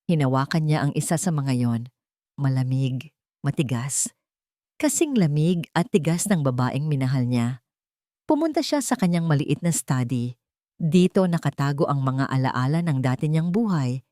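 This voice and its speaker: A woman narrating a dramatic romance story in a measured, somber reading. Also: sits low, a little mellow, dry recording, studio quality.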